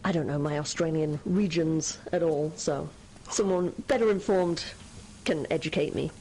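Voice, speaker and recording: A woman's voice: deep voice, moderate pace, warm tone, a little hiss or hum, low-quality recording. A young woman co-hosting a game stream, chatting casually and reading out viewer messages with lots of laughs and fillers. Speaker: streamer co-host. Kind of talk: casual let's-play chat, reading chat messages.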